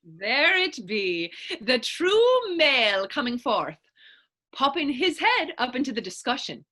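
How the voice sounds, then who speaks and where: A woman acts a bold, teasing Irish idealist from a period stage play. The voice is high and forceful, swinging widely as she presses her case with rhetorical emphasis. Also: big pitch swings, takes it slowly, full character voice. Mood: teasing, playful. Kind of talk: stage readers-theater dialogue in Irish period dialect.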